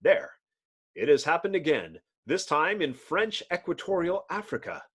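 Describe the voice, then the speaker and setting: A man's voice: a little high, big pitch swings, normal speed, fairly bright tone, slightly rough, heavily acted. A man voicing a commanding scientist hero in a comic book, explaining things earnestly and decisively. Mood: intent, decisive. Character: brisk, commanding male scientist hero, team leader.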